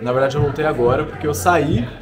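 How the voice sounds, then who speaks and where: A man's voice: on the high side, fast, crowd noise. A young man from Minas Gerais, an exchange student chatting and joking about his life abroad in a pub. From Minas Gerais, Brazil. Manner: jokey, chatty, self-mocking. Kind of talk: casual interview. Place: pub, indoors.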